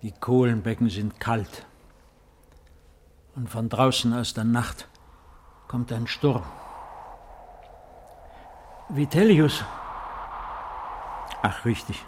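A male actor as an aging Roman emperor who has lost his power, proud and solitary. He speaks slowly and gravely, with stately weight, in the manner of 1950s German radio drama. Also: medium pitch, a little mellow, slight background noise, close to an everyday voice. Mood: forlorn, uneasy. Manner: solemn, measured, stately.

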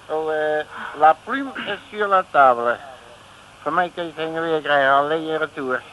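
An actor playing a cocky, slangy working-class detective in a 1950s Dutch radio comedy serial. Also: male voice, a little high, animated, moderate pace, dull audio, natural voice. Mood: brash. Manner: slangy, blunt, self-assured. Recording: noisy old radio recording.

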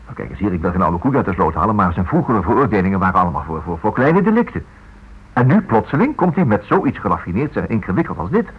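An actor playing a polite, hesitant writer in a Dutch radio play, probing in a halting way and repeating himself. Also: male voice, on the low side, sing-song, slightly rough, heavily acted. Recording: muffled, narrow-band old radio recording.

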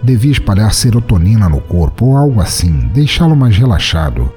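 Brazilian male story narrator: very low, mellow voice, dramatic and wry, scripted fiction. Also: very animated, brisk. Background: music.

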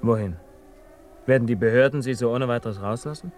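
An actor in a German radio drama playing a brooding, conscience-torn scientist, speaking in an introspective, theatrical way. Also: male voice, medium pitch, a little fast, a little mellow, a little hiss or hum, lightly acted. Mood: guarded, curious.

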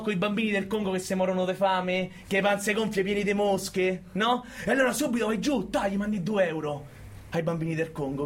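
A man playing a cynical, bullying prison guard in a radio monologue, with a Roman accent and a sardonic, goading manner full of rhetorical questions. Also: sits high. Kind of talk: theatrical radio monologue in colloquial dialect.

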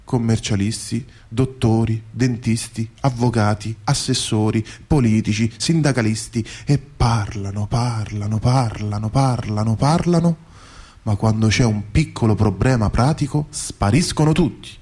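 A man's voice: fairly low pitch, measured pace, warm tone, husky. An old, courteous gatekeeper of heaven acted out by a man in a radio play. He explains everything with patient, formal politeness. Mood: patient, explaining.

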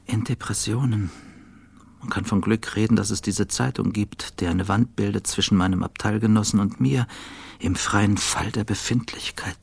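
Husky, gravelly, low-voiced cynical man. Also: fairly even intonation, normal speed, no echo. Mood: self-tormenting. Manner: wry, self-dissecting, often dropping to a near whisper. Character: self-doubting, bitterly cynical male television journalist, smoker. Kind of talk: radio play interior monologue.

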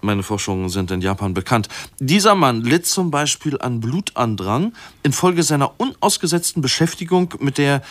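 A man's voice: medium pitch, sing-song, normal speed, fairly bright tone. An actor playing a pompous, long-winded male medical researcher who lectures didactically in a radio play. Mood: pompous, excited.

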